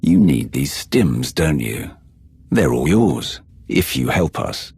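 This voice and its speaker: An actor playing a commanding, controlled dark warrior in a video game, terse and authoritative with a gravelly voice. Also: male voice, rumbling low, very animated, slow, full character voice. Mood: cool, probing.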